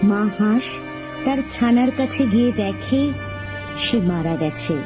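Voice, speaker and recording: A woman's voice: on the high side, expressive, unhurried, music in the background. A woman reading scripted narration for a cartoon animal story.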